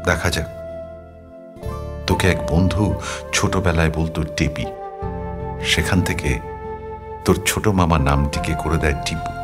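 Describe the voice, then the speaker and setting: A man performing an audio story as a restless young man writing a love letter, reflective and questioning, with music behind him. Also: extremely low pitch. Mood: tender, resigned. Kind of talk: dramatic audio story narration.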